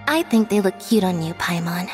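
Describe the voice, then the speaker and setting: An actress playing a reserved, composed young female magician's assistant in a video game, speaking in a calm, understated way. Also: mid-range voice, moderate pace, over loud music, natural voice.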